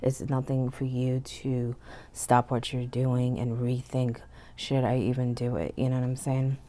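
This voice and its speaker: A woman giving a casual tarot reading, sounding stuffy and hoarse from illness, chatty with frequent "okay?" and "you know". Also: extremely low pitch, flat delivery, measured pace, warm tone. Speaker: tarot reader. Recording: faint background noise.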